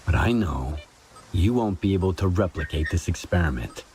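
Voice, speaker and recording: An actor playing a curt, hard-bitten mercenary in a video game, terse and unimpressed. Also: male voice, low-pitched, animated, normal speed, background noise. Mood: cold, dismissive. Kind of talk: video game dialogue acting.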